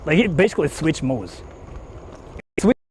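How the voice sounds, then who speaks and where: A man's voice: on the high side, big pitch swings, normal speed, slight background noise, low-quality recording. Rambling man streaming from his phone. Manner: rambling, many fillers, explains tech setup. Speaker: IRL streamer. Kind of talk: casual live-stream talk to chat. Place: outdoors in the countryside, on the move. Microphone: phone held while streaming.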